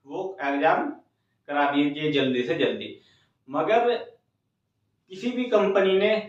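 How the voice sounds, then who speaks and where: A man with a high voice gives an informal news update as a channel host. He explains point by point, repeating himself and addressing viewers directly. The recording is heavily echoey and sounds far from the microphone. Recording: very echoey room, distant mic, dull sound.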